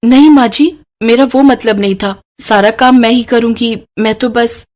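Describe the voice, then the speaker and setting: A woman's voice: fairly high pitch, fairly even intonation, moderate pace, pitched above the performer's own voice, close to an everyday voice. A woman performs a young daughter-in-law for a cartoon moral tale. The voice is raised and earnest, with a deferential, pleading manner. She sounds meek yet hopeful. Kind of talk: Hindi moral cartoon story acting.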